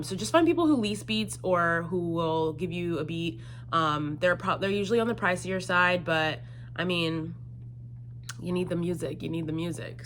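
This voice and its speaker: A woman's voice: fairly low pitch, a bit bright, slight background noise. A woman in her twenties chatting casually to viewers as a singer-songwriter answering questions, explaining things in a conversational way. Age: 20s. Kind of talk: casual solo Q&A chat to camera.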